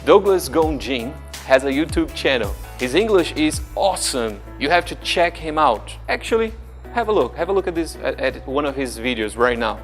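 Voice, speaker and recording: A man's voice: fairly high pitch, expressive, normal speed, soft background music. A man from Brazil, hosting in a friendly, chatty way and asking interview questions. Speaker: host.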